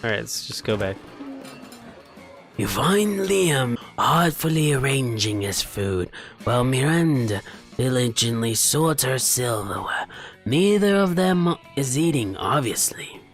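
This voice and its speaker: A man's voice: mid-range voice, sing-song, a little slow, faint music behind. A man hosting a gaming video, reading the story aloud theatrically and adding casual commentary.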